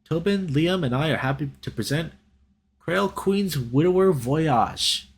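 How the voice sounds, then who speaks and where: A man's voice: fairly high pitch, lively intonation, a little slow, breathy edge, pitched above the performer's own voice, heavily acted. A man voicing a nervous, earnest young otter filmmaker in a visual novel, with a hesitant, folksy manner. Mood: nervous, announcing.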